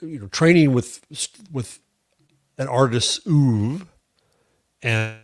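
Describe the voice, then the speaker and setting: Male podcast commentator, mid-pitched, halting delivery with repeated words, casual opinions, close dry recording. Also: no room sound. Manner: halting, repeats words while searching for phrases.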